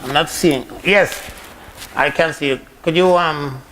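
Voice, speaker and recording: A man's voice: fairly high pitch, measured pace, a bit bright, a little hiss or hum, low-quality recording. A man chairing a question session, courteous and lightly joking. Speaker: moderator.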